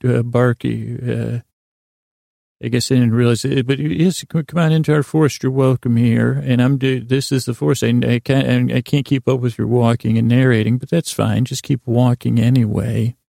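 A man hosting a bedtime podcast in a creaky, soothing voice, improvising a meandering story with halting restarts and repeated phrases. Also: mid-range voice, no room sound, studio quality. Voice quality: creaky, gravelly. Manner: gentle, lulling, soothing. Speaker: host of a bedtime sleep podcast.

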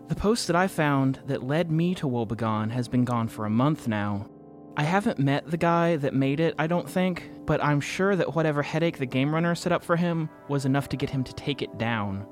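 Audio drama lead: male actor as a sardonic, guarded young guy, mid-pitched, confessional, music underneath. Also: close to an everyday voice. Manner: dry, self-deprecating, sardonic. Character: wry, guarded young man confessing about a secret online game. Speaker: narrator and protagonist of an audio fiction podcast. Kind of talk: first-person confessional podcast narration, conversational. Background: instrumental music.

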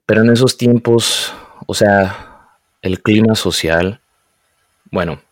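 A young man raised in the United States, speaking casually and often pausing to search for words. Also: fairly low pitch, dry recording. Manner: hesitant, searches for words, mixes in English. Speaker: guest. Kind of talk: casual conversation.

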